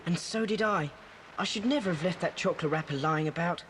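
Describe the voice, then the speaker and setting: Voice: mid-range voice, a little fast, fairly bright tone, close to an everyday voice. An actor playing an earnest older boy adventurer in a 1980s children's audio drama. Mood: rueful. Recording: faintly noisy old cassette tape.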